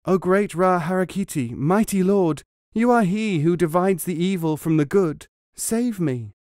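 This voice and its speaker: A man reading a story, voicing an earnest young man who pleads and explains, a little higher than his narrating voice. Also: expressive, normal speed, a little mellow, no room sound, clean studio sound, close to an everyday voice. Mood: pleading.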